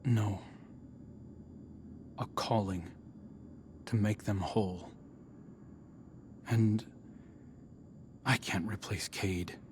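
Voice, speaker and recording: A man's voice: on the low side, steady tone, drawn-out words, long pauses, a little mellow, a little hiss or hum. A man acting a remorseful guardian hunter in a video game cutscene, speaking reflectively and earnestly. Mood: earnest, humble. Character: remorseful male guardian hunter seeking redemption.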